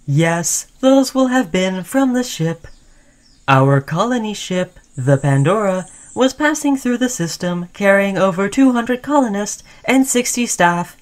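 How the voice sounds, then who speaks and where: A woman's voice: medium pitch, close to an everyday voice. Woman playing a caring, devoted robot companion. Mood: friendly, reassuring. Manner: chatty, soothing, addressing listener as human friend. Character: friendly, caring, obsessively devoted sentient robot crewmate. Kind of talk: scripted ASMR roleplay addressed to the listener.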